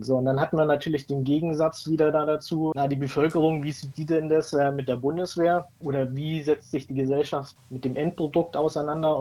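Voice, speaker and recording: Bavarian guy talking straight, kinda high voice, team captain on a panel. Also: a little mellow, rough recording. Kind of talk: panel discussion.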